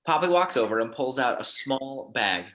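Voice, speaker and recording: A man's voice: medium pitch, expressive, moderate pace, pushed and bright. A man narrating action descriptions in a scripted table read.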